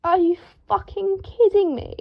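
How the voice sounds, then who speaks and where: A woman's voice: very high voice, steady tone, measured pace, creaky, lo-fi sound, pitched above the performer's own voice, full character voice. A woman voicing a fussy, dramatic young wizard man who gets indignant and seethes, in an audiobook reading. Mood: furious. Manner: seething, hysterical outbursts, stiffly formal when restrained. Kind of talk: audiobook character voice.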